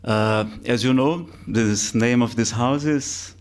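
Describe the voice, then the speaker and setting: Brazilian-accented male lecturer, Portuguese native, unhurried, halting restarts, mid-pitched. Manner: slow, deliberate, with halting restarts. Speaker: spiritist lecturer. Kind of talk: public lecture. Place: spiritist center hall.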